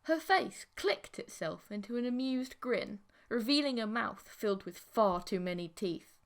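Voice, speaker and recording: A woman's voice: fairly high pitch, animated, a little slow. A woman reading scripted first-person fiction as an audiobook narrator.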